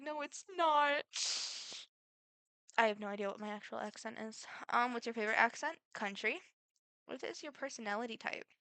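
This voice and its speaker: Bright-voiced Midwestern teen girl, chatty. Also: fairly high pitch, no echo. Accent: Midwestern American. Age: teens. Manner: chatty, rambling, full of fillers. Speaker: vlogger. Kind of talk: casual get-to-know-me Q&A.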